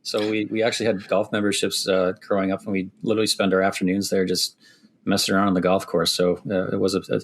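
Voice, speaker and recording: A middle-aged man from Wisconsin with a Midwestern accent softened by years in Canada, answering interview questions thoughtfully with many restarts. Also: low-pitched, level tone, quick pace. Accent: Midwestern American, softened by years in Canada. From Wisconsin, USA. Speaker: construction executive guest.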